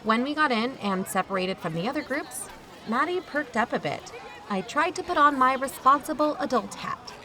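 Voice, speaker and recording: A woman's voice: high voice, expressive, moderate pace, bright, forceful tone, some chatter behind, poor audio. A woman playing an uneasy, wry adult chaperone who narrates her own account in a confiding way for an audio drama. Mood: wry, nostalgic. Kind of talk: first-person scripted narration.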